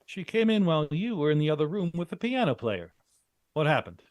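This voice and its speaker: An actor playing a brisk, no-nonsense American leader of adventurers in a vintage radio drama, speaking in a clipped, insistent way. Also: male voice, a little high, very animated, darkish tone, no room sound.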